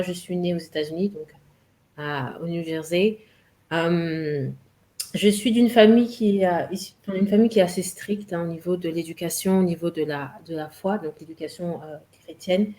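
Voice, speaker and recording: A woman interpreting a guest's answers live, halting and correcting herself as she goes. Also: lowish voice, warm tone. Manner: halting, self-correcting with many euhs.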